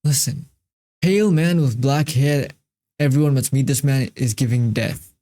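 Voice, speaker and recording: A man's voice: mid-range voice, moderate pace, warm tone. A man hosting a reaction video, talking casually and emphatically, repeating himself and swearing freely.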